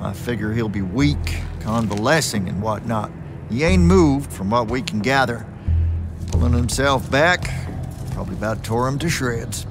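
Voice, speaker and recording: A man voices a sardonic old mentor figure from a video game, drawling and folksy, in a mid-pitched voice. A full orchestral score plays behind him. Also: expressive, normal speed, music in the background, lightly acted. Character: wounded, gruff older male mentor, sardonic. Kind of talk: video game cutscene acting.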